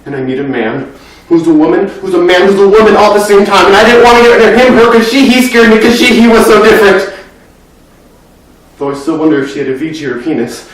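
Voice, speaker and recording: A man's voice: mid-range voice, fairly even intonation, very fast, hardly any pauses, a little hiss or hum, close to an everyday voice. A young man performing a dramatic monologue as a grieving, anxious, talkative boy, with an earnest, intense delivery, recorded in a very echoey room far from the mic. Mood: agitated, upset. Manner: earnest, intense, breathless run-on telling that swells from hushed to loud. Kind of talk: dramatic monologue at a speech competition.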